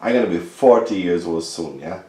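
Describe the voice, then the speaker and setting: A man's voice: deep voice, animated, brisk, warm tone. Insistent man in his thirties, echoey room. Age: 30s. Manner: insistent, lecturing, keeps saying listen to me and trust me. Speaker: discussion participant. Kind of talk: casual group discussion.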